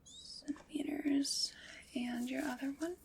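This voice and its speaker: A woman plays a calm, courteous armor fitter who whispers softly and takes her time over every word. Her breathy whisper sits a little high, with a gravelly edge on the voiced words, and there is a faint hiss behind her. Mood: gentle, attentive. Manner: soft whispering, slow and attentive. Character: calm polite woman fitting armor, measuring. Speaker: ASMR roleplay creator. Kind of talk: ASMR roleplay whispering.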